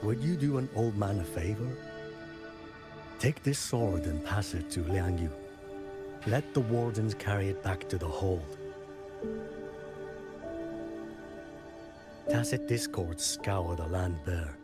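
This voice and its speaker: An elderly village chief with a very low voice. He is solemn and kindly, telling things in a measured, formal way like a mournful storyteller in a fantasy game. Loud music plays behind him. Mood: gentle, grave. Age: older. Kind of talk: scripted fantasy game dialogue.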